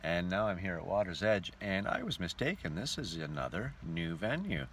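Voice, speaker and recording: A man's voice: low-pitched, animated, moderate pace, fairly bright tone, a little hiss or hum. A man who entertains as a touring singer and show host, chatting casually in a folksy way as in a travel vlog or between songs.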